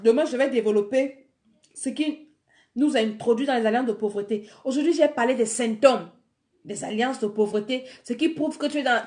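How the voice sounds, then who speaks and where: A fervent woman preacher, leading her listeners through prayer and exhortation. Her voice sits fairly high, and she has a cold. She drops into quiet murmured prayer, then climbs again into urgent preaching. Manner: fervent, swings between soft prayer and rising exhortation. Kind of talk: sermon with guided prayer.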